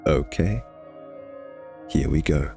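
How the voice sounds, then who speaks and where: A man's voice: extremely low pitch, slow pace, gravelly, dark tone, faint music behind, heavily acted. A man playing a cocky, sardonic vampire nemesis who teases and taunts the listener in an intimate ASMR roleplay. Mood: smug, teasing.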